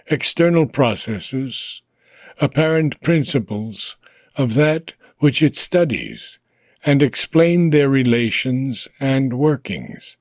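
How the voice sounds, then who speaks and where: A man reading a philosophical book aloud for an audiobook, in slow, measured phrases that trail off at their ends. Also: mid-range voice, no echo.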